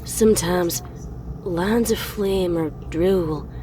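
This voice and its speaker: A woman playing a disfigured pony in a slow horror monologue, haunted and obsessive, breathy and often dropping to a whisper. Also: on the low side, dark tone, slight background noise, poor audio. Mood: haunted, brooding. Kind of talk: slow horror monologue, scripted dramatic reading.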